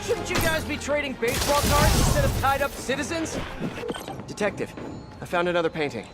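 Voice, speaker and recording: A man's voice: very high voice, very animated, brisk, over loud music. An actor playing a wisecracking, eager young American male superhero in a video game, with a casual delivery. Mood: breezy, curious. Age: young adult.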